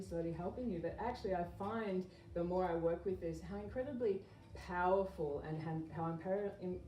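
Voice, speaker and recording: A woman's voice: fairly low pitch, mellow. A woman speaking as a health educator, giving an informal public talk in an earnest, conversational, explanatory way. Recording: echoey room, distant mic, background noise.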